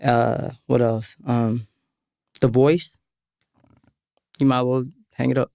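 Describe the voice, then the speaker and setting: A soft-spoken man talking as a musician guest in a laid-back podcast chat, often saying "exactly". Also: mid-range voice, little pitch movement, slow pace, gravelly, no echo, clean studio sound. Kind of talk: casual podcast interview.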